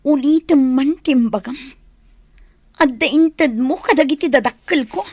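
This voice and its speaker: Actress as wronged young wife in a radio play: high, plaintive, questioning, close dry sound. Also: female voice, a little slow, a little mellow, no room sound. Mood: hurt, protesting. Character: young wife, hurt and protesting against her controlling husband. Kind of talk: Ilocano comedy-drama radio acting.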